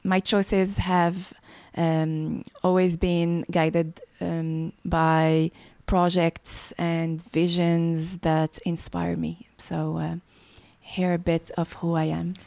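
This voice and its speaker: A woman, giving podcast interview answers. She speaks slowly in a low, gravelly voice, pausing often on fillers and restarting her phrases. The recording is close and dry. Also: level tone, darkish tone, no room sound. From Morocco. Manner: hesitant, many fillers and restarts. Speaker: podcast guest, startup programs director.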